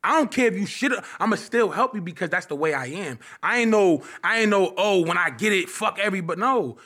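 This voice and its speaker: Man in his late twenties comedian guest, highish voice, animated and rambling, casual interview chat. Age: 20s. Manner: animated, rambling, lots of filler phrases.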